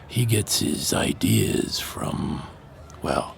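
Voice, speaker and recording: An actor playing a wry, dismissive insider in an audio drama, with a gravelly, sardonic drawl. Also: male voice, low voice, lively intonation, extremely slow, exaggerated voice. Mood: firm, sardonic. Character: wry, dismissive insider man who won't be pushed.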